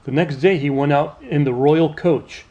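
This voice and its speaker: A man narrating a children's storybook aloud in an expressive, scripted read-aloud style. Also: on the high side, moderate pace.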